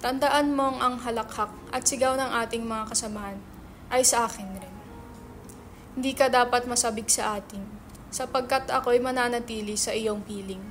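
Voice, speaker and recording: A woman playing an excitable, theatrical young male writer who likes to tease, in a dramatic reading of a stage play. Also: fairly high pitch, moderate pace, slight background noise, lightly acted. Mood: impassioned romantic recitation. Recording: background music under the voice.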